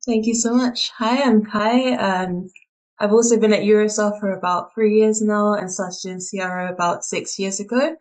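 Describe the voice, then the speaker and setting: A woman introducing herself briskly and plainly as a guest on a webinar call. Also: medium pitch, fairly even intonation, darkish tone, poor audio. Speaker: webinar guest, experimentation specialist.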